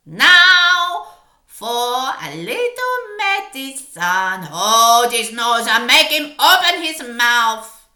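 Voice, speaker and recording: A woman voicing a vicious, tyrannical head witch from a children's storybook, with a commanding, drawn-out shouted delivery. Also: a little high, big pitch swings, reverberant, exaggerated voice. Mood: menacing. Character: Grand High Witch, tyrannical, vicious, screaming villainess. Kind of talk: children's storybook read-aloud.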